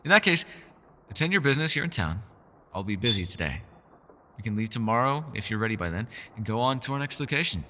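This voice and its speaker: A man's voice: fairly low pitch, big pitch swings, quick pace, pushed and bright, in a large hall. A man playing a mysterious, scholarly elf in a fantasy roleplay, with a refined, theatrical and teasing manner. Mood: firm, serious. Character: mysterious, scholarly male elf, playful yet guarded. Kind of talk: fantasy ASMR roleplay, scripted character acting.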